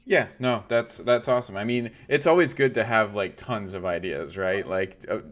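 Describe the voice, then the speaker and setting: A man's voice: fairly low pitch, normal speed. A man hosting a live stream, chatting casually and rambling, with lots of "like" and "right?". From Los Angeles area. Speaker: stream host. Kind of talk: casual interview chat.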